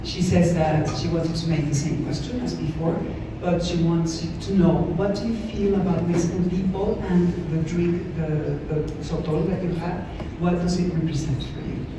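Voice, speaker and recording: An interpreter relaying answers and questions at a press conference, practical and brisk, with small hesitations. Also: mid-range voice, steady tone. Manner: quick, functional relaying with hesitations. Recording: echoey room from far off, noisy.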